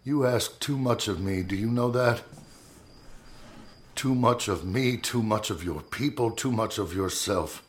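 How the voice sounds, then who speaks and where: An actor playing a grim, grieving, commanding Secretary of War in a historical audio drama, with formal, bitter, deliberate oratory. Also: male voice, fairly low pitch, natural voice.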